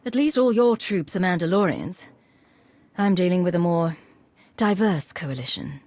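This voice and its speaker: An actress playing a cool, composed female agent in a video game, speaking in a measured, businesslike way. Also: fairly low pitch, animated, a little mellow, no echo. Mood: matter-of-fact.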